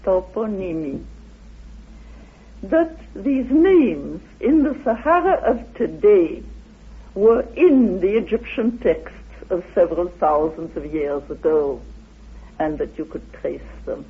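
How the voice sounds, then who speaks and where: A woman speaks slowly and deliberately, lecturing as an interviewed researcher. Her husky voice swings widely in pitch for dramatic emphasis. The old radio recording is narrow and phone-like. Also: expressive. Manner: deliberate, dramatic, with rhetorical questions. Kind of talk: spoken lecture-like explanation.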